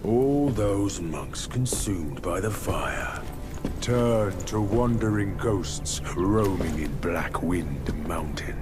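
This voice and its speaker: A proud, brooding bear demon of a fantasy game. The fairly low voice is grandiose and very slow, swinging widely from hushed to booming. Music is clearly heard behind it. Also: male voice, lively intonation. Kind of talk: dramatic game cutscene acting.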